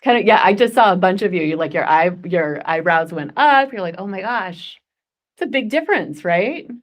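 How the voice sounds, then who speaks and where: A woman's voice: on the low side, expressive, normal speed. A woman in her forties leading a goal-setting workshop, chatty and upbeat, laughing often. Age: 40s. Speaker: workshop facilitator.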